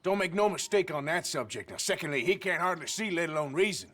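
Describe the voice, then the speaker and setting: An actor playing a tough, blunt outlaw cowboy with a rural Western American drawl, in a video game. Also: male voice, fairly high pitch, expressive, fast talker, fairly bright tone. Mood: stern.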